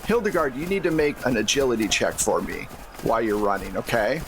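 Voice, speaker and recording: Male game master, medium pitch, conversational tabletop narration, audible room noise. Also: fairly quick.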